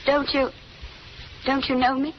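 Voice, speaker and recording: Fugitive heroine, high voice, guarded and earnest, old radio melodrama through noise. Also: female voice, little pitch movement, unhurried. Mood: evasive. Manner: hesitant, earnest, broken phrases. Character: troubled, guarded young woman hiding from the law. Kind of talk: 1930s radio melodrama acting. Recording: muffled, noisy 1930s radio recording.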